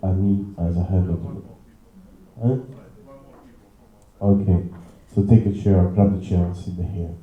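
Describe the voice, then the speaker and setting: A man facilitating a group workshop, giving loose, halting instructions in a conference room. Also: low voice, fairly even intonation, phone-quality sound. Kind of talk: leading a group round. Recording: roomy echo.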